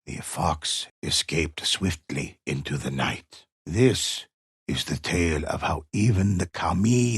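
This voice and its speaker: Deep, gravelly old storyteller. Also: male voice, a bit bright, dry recording, studio quality, full character voice. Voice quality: gravelly, husky. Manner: slow, solemn, measured, ominous. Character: grave old male storyteller of samurai ghost legends. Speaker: narrator. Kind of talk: dramatic scripted storytelling for a video game.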